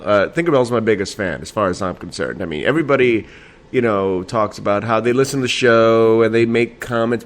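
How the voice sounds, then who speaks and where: A man hosting a casual late-night podcast from home, a bit tipsy and a little nasal, rambling and laughing with lots of fillers and swearing. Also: fairly low pitch. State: slightly tipsy. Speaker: podcast host. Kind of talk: late-night casual solo talk show.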